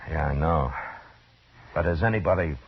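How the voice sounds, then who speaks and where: An actor playing a calm, dry, authoritative US marshal in a 1950s-style radio western. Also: male voice, very deep voice, normal speed, gravelly, breathy edge, exaggerated voice. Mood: calm, concerned. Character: steady, wry US marshal of a frontier town. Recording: dull, noisy old radio recording.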